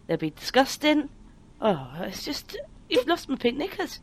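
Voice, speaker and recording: Woman voicing a scolding middle-aged mother, fussy and accusing, bright and bouncy pitch, Skype audio. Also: sing-song, a little slow, full character voice. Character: nagging middle-aged mother hunting lost knickers. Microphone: Skype call.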